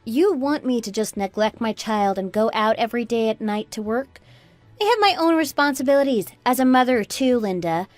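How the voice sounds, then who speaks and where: Woman acting an indignant, higher-voiced young daughter-in-law. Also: animated, moderate pace, no echo, soft background music, natural voice. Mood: defiant. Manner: argumentative, sarcastic, pointed. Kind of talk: scripted radio drama dialogue.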